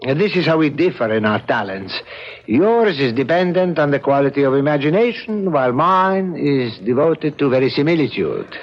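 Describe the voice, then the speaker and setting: An actor playing a formal, courtly, middle-aged sculptor in a radio mystery drama, politely hesitant and obsessive. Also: male voice, a little high, sing-song, normal speed. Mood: courteous, persuasive. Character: obsessed, courtly sculptor, older than his listener. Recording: dull lo-fi old radio broadcast.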